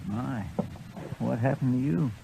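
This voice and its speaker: An actor playing a loyal, timid deputy in a 1950s radio drama, who stammers and talks deferentially. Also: male voice, medium pitch, a little slow, vocal fry. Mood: bashful. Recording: lo-fi old radio transcription with hiss.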